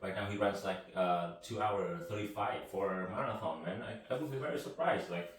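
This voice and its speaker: A man's voice: on the low side, fairly quick. A man hosting a casual podcast, a native Vietnamese speaker, talking in a loose, rambling way. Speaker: podcast host. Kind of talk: casual conversation. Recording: echoey room, mic from a distance.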